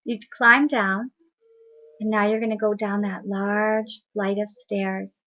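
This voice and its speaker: A woman leading a guided meditation in a soft, soothing, unhurried voice. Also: mid-range voice, flat delivery. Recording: dull lo-fi recording.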